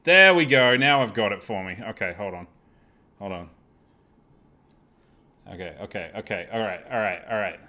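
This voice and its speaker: A man's voice: fairly low pitch, animated, moderate pace, pauses often, sharp tone. A man hosting a live gaming stream, chatting casually in a self-deprecating, apologetic way with plenty of swearing.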